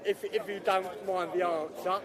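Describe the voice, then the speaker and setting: Blunt male protester, high voice, loud crowd behind. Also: fairly even intonation, moderate pace. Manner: blunt, plain-spoken. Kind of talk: street interview answers. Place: outdoors at a city street protest. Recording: outdoor recording with crowd around. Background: crowd noise.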